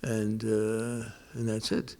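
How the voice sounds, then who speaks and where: A man's voice: fairly low pitch, monotone, darkish tone. An older man reminiscing. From New York, United States. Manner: rambling, many restarts and pauses. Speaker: interviewee. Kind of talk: casual oral-history interview.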